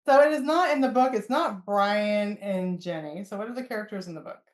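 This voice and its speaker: A woman's voice: mid-range voice, a little fast. Echoey woman hosting a casual podcast. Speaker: podcast host. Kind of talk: casual interview chat. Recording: echoey room.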